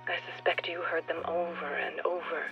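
A woman's voice: fairly low pitch, level tone, moderate pace, vocal fry, muffled recording. An actress playing an earnest, nature-loving newcomer in a radio play, telling her thoughts intimately and wistfully to someone absent. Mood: wistful wonder. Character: earnest nature-loving newcomer woman speaking to a departed listener. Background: music.

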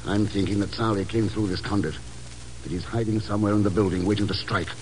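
An actor playing a shrewd, matter-of-fact secret agent in a 1950s radio drama, speaking tersely and probingly. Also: male voice, on the low side, steady tone, fast, a little mellow, lightly acted. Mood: brisk, determined. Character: cool, clipped, shrewd male secret agent. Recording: noisy old 1950s radio recording.

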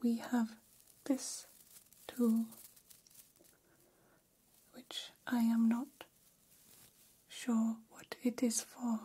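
A woman voicing a gentle, curious female alien in an ASMR roleplay, whispering softly in formal, halting, literal phrases. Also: on the high side, heavily acted. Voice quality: soft, breathy whisper. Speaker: ASMR performer.

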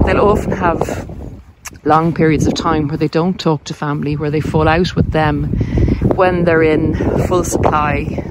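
A woman giving an informal, explanatory monologue as a podcast host, posing questions and answering them in a conversational way. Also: deep voice. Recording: loud vehicle and wind noise, clicks.

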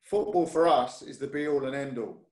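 A man's voice: mid-range voice, normal speed, a little mellow. London man in a roomy echo. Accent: London English. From North London, UK. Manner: hesitant, many fillers and restarts, easygoing. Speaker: guest. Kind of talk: casual interview chat.

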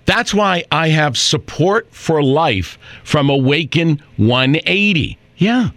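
A man's voice: lively intonation, takes it slowly, fairly bright tone, no room sound. Persuasive man in a testimonial ad. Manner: conversational, persuasive pitch. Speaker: customer giving a testimonial in an ad. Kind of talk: radio ad testimonial.

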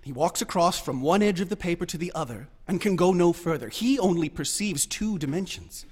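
Eager, high-voiced obsessive young student. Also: male voice, lively intonation, fairly quick, darkish tone, breathy edge. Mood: earnest, visionary. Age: young adult. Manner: insistent, interrupting, lecturing. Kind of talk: radio drama acting.

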